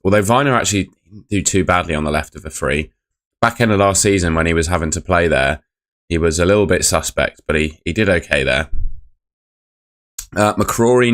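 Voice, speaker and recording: Croaky-voiced man with a very deep voice. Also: a bit bright. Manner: chatty, rambling, reads out chat messages. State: croaky voice from shouting. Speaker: fan channel host. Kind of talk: casual live football review.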